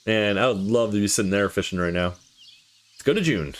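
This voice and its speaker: A man reading visual novel dialogue aloud in a casual, expressive way, as a let's play host. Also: lowish voice, brisk, faint music behind.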